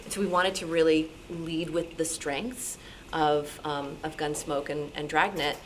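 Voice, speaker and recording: Female panelist, lowish voice, conversational and hedging, explanatory, slightly noisy meeting recording. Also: little pitch movement, a little slow. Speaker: radio programmer on a club panel. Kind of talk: explanatory talk to an audience.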